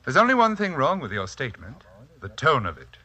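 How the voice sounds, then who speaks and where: A man's voice: mid-range voice, wildly swooping pitch, a little fast, pushed and bright, heavily acted. A man acting in a 1940s radio drama as a pompous, condescending and sinister self-styled psychic scientist, speaking in elaborately formal phrases. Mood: haughty, menacing.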